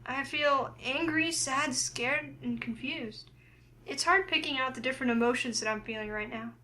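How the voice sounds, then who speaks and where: A woman's voice: high-pitched, moderate pace, pushed and bright, rough recording. A woman reading a visual novel aloud, narrating and acting out the heroine's lines. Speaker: game player and narrator.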